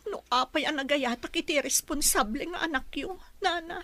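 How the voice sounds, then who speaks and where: A woman's voice: high voice, moderate pace, sharp tone, no echo. A woman with an Ilocano accent playing a weary, pleading young mother who works as household help, in a radio-style drama. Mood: pleading, hurt.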